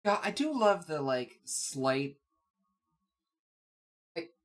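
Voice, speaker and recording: A man's voice: fairly high pitch, very animated, extremely slow. A man co-hosting a podcast with casual, rambling, jokey banter and loose swearing. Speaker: podcast co-host.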